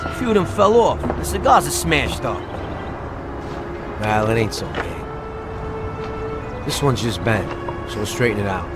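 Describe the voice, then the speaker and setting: An actor playing an excitable, scheming small-time American gangster in a video game, talking in streetwise slang. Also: male voice, medium pitch, measured pace, pauses often, over loud music, lightly acted. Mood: excited. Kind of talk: video game cutscene acting.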